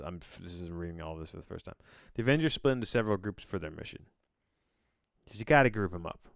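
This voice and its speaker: A man with a fairly low, clean voice, recorded close and dry. He reads aloud like a casual podcast host, breaking off to wander into bemused asides and interrupt himself. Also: clear, resonant tone, no room sound. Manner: rambling, self-interrupting, bemused; casual swearing. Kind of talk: casual solo podcast reading with rambling asides.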